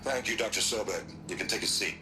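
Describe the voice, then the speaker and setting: A man playing a grave, resolute military commander in a video game, speaking with deliberate authority. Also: on the low side, music in the background, natural voice. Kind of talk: dramatic video game acting.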